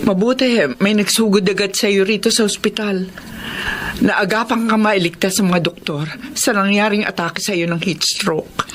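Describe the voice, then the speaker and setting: A woman's voice: mid-range voice, natural voice. Concerned Filipino mother, voiced by an actress, faint noise. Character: concerned, thankful mother at a hospital bedside. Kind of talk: Filipino radio drama acting.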